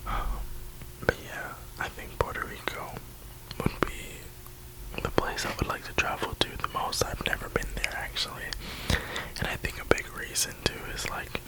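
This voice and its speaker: A man's voice: a little slow, poor audio. A young man in his early twenties, from New York and Florida, whispering through a rambling Q&A and repeating his words, sitting close to the mic. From New York and Florida, USA. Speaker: ASMR creator. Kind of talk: whispered ASMR Q&A.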